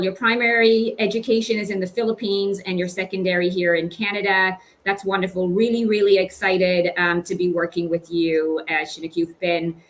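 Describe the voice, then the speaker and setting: A woman's voice: lowish voice, a bit bright, low-quality recording. A middle-aged woman hosting an online question-and-answer session as a recruitment director, encouraging and generous with praise. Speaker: webinar host and recruitment director. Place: small home office indoors. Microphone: computer microphone on video call. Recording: faint background noise on video call.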